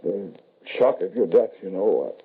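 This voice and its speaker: A man's voice: sits low, expressive, a little slow, creaky, breathy edge, heavily acted. An actor playing a frail, grieving older father, hesitant and pleading, in a 1940s radio horror style. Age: middle-aged or older. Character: frail, grieving father, anxious and desperately loving. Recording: noisy, tinny old-time radio recording.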